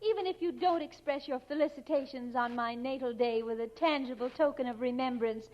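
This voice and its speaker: A muffled vintage radio recording features a woman playing a young secretary. She is high-pitched, coy and prim, with mannered genteel diction in 1940s comedy style. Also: a little fast, close to an everyday voice. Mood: gracious.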